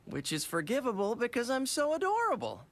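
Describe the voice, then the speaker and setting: A man playing an earnest yet cocky teenage boy hero who leads a team in an animated cartoon. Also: extremely high pitch, brisk, exaggerated voice. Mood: smug, playful. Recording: music loud behind the voice. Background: loud music.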